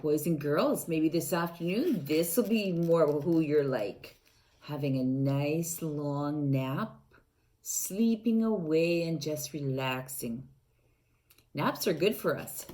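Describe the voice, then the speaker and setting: A woman reading a storybook aloud to children, animated and asking them questions, sometimes dropping to a whisper. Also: sits low, takes it slowly.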